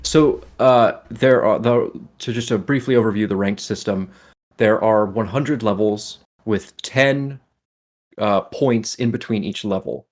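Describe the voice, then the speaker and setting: Low-voiced man chatting on a gaming podcast. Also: measured pace. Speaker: podcast co-host. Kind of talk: casual gaming podcast chat.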